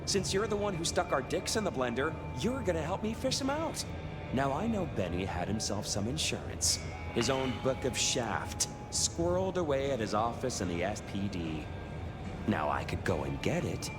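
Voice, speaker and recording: A flamboyant vampire gossip broker from a video game, acted by a man, with a wildly animated, swooping delivery. He is sardonic, crude and theatrical, teasing as he goes, over loud music. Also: mid-range voice, normal speed, natural voice. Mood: goading, crude. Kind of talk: video game character acting.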